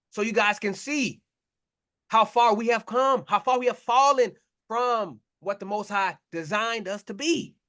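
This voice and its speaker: A man's voice: high-pitched, fairly bright tone. A man preaching a confrontational sermon, blunt and mocking, in slangy talk to his listeners.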